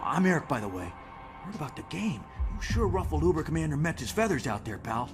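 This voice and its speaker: An actor playing a scrappy, friendly American resistance prisoner in a video game, urgent, chummy and streetwise. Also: male voice, a little high, very animated, a little fast, over music. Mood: chummy, hushed. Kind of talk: video game character acting.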